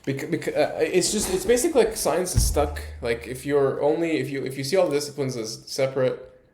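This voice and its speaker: A man explaining his ideas in a casual discussion, halting and rephrasing emphatically as he goes. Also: fairly high pitch, animated, a little mellow, slight background noise. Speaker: speaker explaining a research ranking system.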